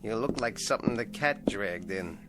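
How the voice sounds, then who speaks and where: A man voicing a sour, wisecracking male ventriloquist dummy who needles his partner in a gruff, sarcastic way. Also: on the low side, lively intonation, normal speed, pitched below the performer's own voice, natural voice.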